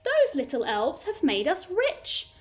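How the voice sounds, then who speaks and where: A woman's voice: sits high, huge pitch swings, a little slow, voice pushed up, heavily acted. A woman reading the part of a kindly, grateful shoemaker's wife in a fairy tale, earnest and exclaiming. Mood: excited gratitude.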